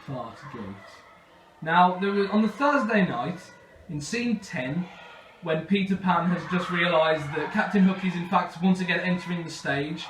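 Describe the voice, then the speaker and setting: A man's voice: sits high, a little slow, fairly bright tone. A man casually telling a funny story to a group, chatty and jokey with a mock-grand turn of phrase. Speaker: documentary host and pantomime performer. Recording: echoey room, distant mic, background noise.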